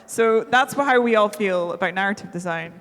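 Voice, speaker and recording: A woman's voice: mid-range voice, moderate pace, fairly bright tone. A woman in her thirties talks casually on a festival panel, mocking herself and filling her speech with likes and ums. Her voice sits in the middle of her range. The sound carries a long reverb from a large hall. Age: 30s. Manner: chatty, self-mocking, many fillers.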